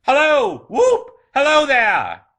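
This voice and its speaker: Giddy old miser, high wild swooping pitch, breathless delight, exclamatory, storybook character. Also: male voice, sing-song, normal speed, pushed and bright, slightly rough, exaggerated voice. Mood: carefree giddiness. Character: jubilant old miser reborn, giddy, excitable, childlike glee.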